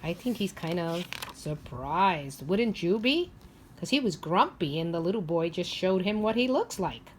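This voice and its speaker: A woman teacher reading a picture book aloud to young children, expressive and instructive, often pausing to ask them questions. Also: on the low side, a little hiss or hum. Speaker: preschool or primary teacher.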